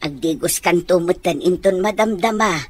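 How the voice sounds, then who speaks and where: A woman's voice: fairly low pitch, normal speed, no echo, close to an everyday voice. An actress with an Ilocano accent playing a doting, fussing mother and grandmother-to-be in a radio comedy drama. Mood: fussing, caring.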